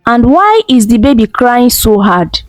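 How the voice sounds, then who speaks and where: Woman doing a gentle teary young wife, slow, dry close sound. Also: on the high side, very animated, no echo, faint music behind. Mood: tender. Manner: soft, heartfelt. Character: young woman, tender and tearful.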